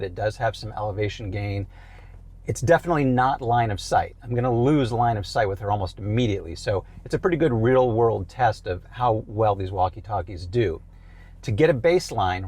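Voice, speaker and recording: A man's voice: fairly low pitch, a little mellow. A man presenting a product range test in a casual, conversational way from inside a car.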